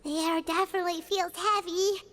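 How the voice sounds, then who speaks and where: A woman voicing a small, gentle, childlike girl in a video game, with a reverberant sound. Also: extremely high pitch, fairly even intonation, moderate pace, slight background noise. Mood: uneasy. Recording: long reverb, faint ambience.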